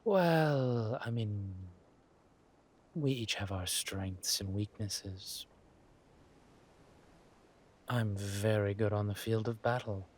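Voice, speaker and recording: A man performs a suave villain king in an intimate audio drama. His voice sits a little low and moves very slowly, with halting pauses and purring hums. He is ruthless, yet teasing and loving toward his wife. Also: a little mellow. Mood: tender, smug.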